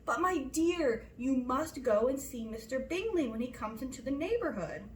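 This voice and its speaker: A woman's voice: high voice, animated, normal speed, echoey room, slight background noise, voice pushed up. A woman voicing a nervous, fretful middle-aged mother who complains and exclaims insistently in a storybook reading. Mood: pleading, insistent.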